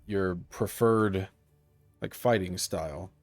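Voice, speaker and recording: A man streaming a game, talking casually in a gravelly voice and rambling with many fillers. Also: deep voice, slow pace. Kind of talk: casual gameplay commentary.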